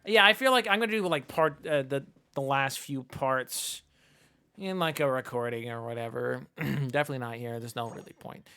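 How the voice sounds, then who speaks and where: A man's voice: medium pitch, normal speed, sharp tone, smooth. A man giving casual, chatty, playful commentary while live streaming a video game. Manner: chatty, playful, muttering nonsense syllables.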